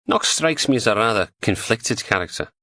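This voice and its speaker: Deadpan male psychologist, flat Liverpool accent, measured delivery, as a man puts him on. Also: fairly low pitch, pitched above the performer's own voice, full character voice.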